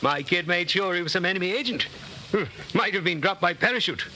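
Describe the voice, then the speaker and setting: A man's voice: high voice, expressive, a little fast, sharp tone, full character voice. A man in a 1940s radio drama playing a jovial, dim, boastful loyalist father, hearty and blustering. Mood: hearty, proud. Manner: hearty, blustering, chuckling old-boy chumminess. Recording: noisy 1940s radio broadcast recording.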